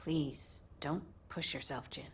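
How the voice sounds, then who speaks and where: A woman playing a firm, caring former military captain in a video game, earnest and gently insistent. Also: low voice, steady tone, slow, a little hiss or hum. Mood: concerned. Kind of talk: dramatic video game voice acting.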